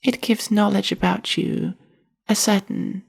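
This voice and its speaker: A very breathy, husky young monster librarian, half spider and bookish, played by a woman, speaks softly in an ASMR roleplay. Her voice is heavy with creak and moves slowly, with formal, reserved and carefully measured wording. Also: medium pitch, big pitch swings, full character voice. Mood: curious, measured. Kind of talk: ASMR roleplay, soft-spoken monologue to the listener.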